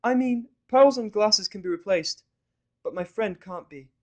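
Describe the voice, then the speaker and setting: Male reader as young cartoon filly, earnest and polite, high raised voice. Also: unhurried, a little mellow.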